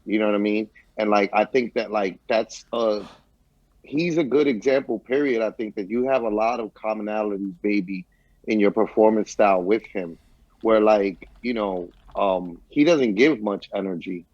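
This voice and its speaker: A man hosting a podcast interview, talking in a relaxed, slangy, casual way. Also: fairly low pitch, moderate pace, no echo. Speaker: podcast host. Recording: dull, muffled dry audio.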